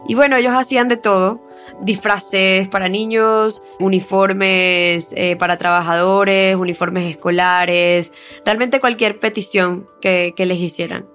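A woman's voice: mid-range voice, steady tone, unhurried, a bit bright, faint music behind. A woman reporter explaining a story conversationally, with frequent hesitations and restarts.

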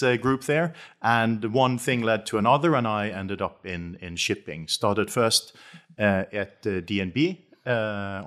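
A middle-aged man with a Norwegian accent, answering as an interview guest in a halting, conversational way with repeated words and hesitations. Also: lowish voice, a bit bright. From west coast of Norway. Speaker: interview guest, shipping company chief executive.

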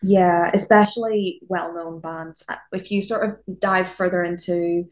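A woman with a somewhat low voice talks eagerly and warmly about her travels and interests. The recording is a bit dull and lo-fi, and the top end is missing. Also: fairly even intonation, unhurried. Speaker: music interviewer. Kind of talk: casual chat. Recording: slightly dull, lo-fi sound.

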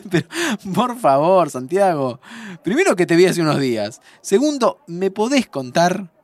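A man's voice: sits high, dry recording. An actor playing a playful, curious time traveller who teases his friend expressively in a Buenos Aires accent, in a fiction podcast. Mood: affectionate, teasing. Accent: Argentine, Buenos Aires. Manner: teasing, expressive, drawn-out. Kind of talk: naturalistic fiction podcast dialogue.

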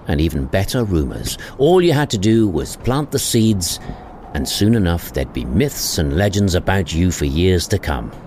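A man with a very deep voice narrates an audio drama in a lively, swooping delivery. He tells it wryly, as scripted storytelling, over clear background noise. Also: moderate pace. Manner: wry storytelling. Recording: clearly noisy ambient bed behind voice.

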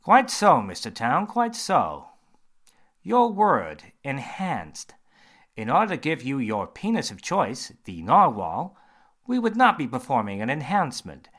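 A man voicing an eager, grinning male surgeon who talks brightly and bluntly. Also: medium pitch, huge pitch swings, voice pushed up, full character voice. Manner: bright, emphatic, quick.

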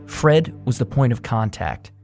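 A man hosting a podcast, reading scripted narration in a measured documentary way. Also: mid-range voice, very animated, music in the background. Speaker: podcast host.

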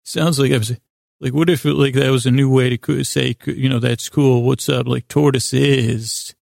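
A man hosting a bedtime podcast in a creaky, gravelly voice, rambling and halting, repeating himself and wandering into absurd asides. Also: medium pitch, steady tone, darkish tone, dry recording, studio quality. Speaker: podcast host. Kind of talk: rambling bedtime monologue to help listeners sleep.

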